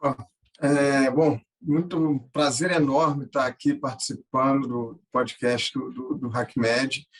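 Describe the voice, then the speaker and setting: A man, answering interview questions conversationally and haltingly, often repeating words. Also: mid-range voice, fairly even intonation. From Minas Gerais, Brazil. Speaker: podcast guest, tech founder and angel investor.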